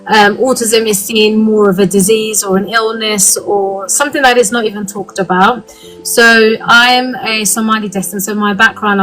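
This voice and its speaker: A woman's voice: mid-range voice, a bit bright, poor audio. Earnest British woman, many fillers. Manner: earnest, frequent "um" fillers, candid. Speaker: guest speaker. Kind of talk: live online talk.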